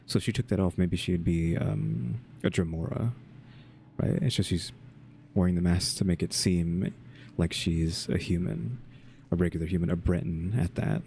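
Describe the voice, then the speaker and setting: Deep, mellow-voiced man gaming. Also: normal speed, gravelly, slight background noise. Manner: musing, laid-back. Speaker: gaming video host. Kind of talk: casual game playthrough commentary.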